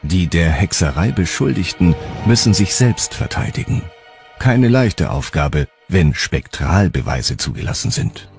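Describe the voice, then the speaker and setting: A man's voice: deep voice, very animated, unhurried, creaky. A man reading scripted historical narration for a documentary. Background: music.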